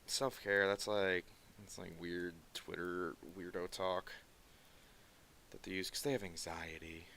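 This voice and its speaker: A man's voice: deep voice, flat delivery, gravelly, pushed and bright, a little hiss or hum. Nasal, sarcastic-sounding man chatting. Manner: rambling, quick asides and mutters while working. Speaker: streaming artist. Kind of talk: casual chat while drawing on stream.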